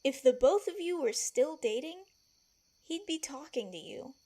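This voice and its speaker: A woman's voice: high-pitched, expressive, unhurried, dark tone. A woman acting a feisty, protective palace servant in an audio drama, blunt and emphatic. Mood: reassuring, frank.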